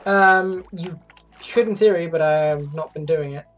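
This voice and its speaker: Low-voiced playful young woman streamer. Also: moderate pace, far from the mic. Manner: playful, rambling, teasing. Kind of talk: casual gaming chat with friends.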